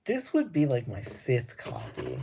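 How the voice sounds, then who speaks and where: A man talking slowly and casually while showing his records, with a slightly raspy voice. He rambles, stops to restart his thoughts, and adds lots of ums. There is clear background noise with clicks behind him. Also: mid-range voice, wildly swooping pitch. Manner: laid-back, rambling, many ums and restarts. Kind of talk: casual talk to camera.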